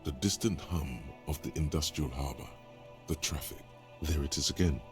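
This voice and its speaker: An actor voicing the deep, gravelly male narrator of a detective video game. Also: normal speed. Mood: hushed. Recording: game audio under music.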